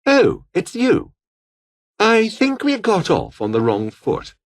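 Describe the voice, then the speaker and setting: A man's voice: sits high, huge pitch swings, takes it slowly, full character voice. A male actor playing a haughty, bitter, scheming fallen aristocrat and rebel officer in a video game, with a British accent and a pompous, sneering, formal manner. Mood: businesslike. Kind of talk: video game character dialogue.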